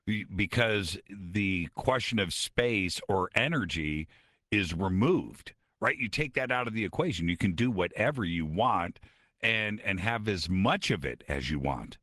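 A man's voice: low-pitched, animated, unhurried, fairly bright tone, no echo, clean studio sound. A man hosting a late-night radio talk show, chatty and upbeat as he moves to the calls.

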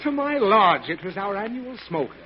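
A man's voice: sits high, sing-song, normal speed, some chatter behind. An actor playing an exasperated, short-tempered, suffering father in old radio comedy, groaning and sputtering. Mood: irritated, pleading. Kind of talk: 1940s radio comedy acting. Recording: muffled, noisy old radio show with audience.

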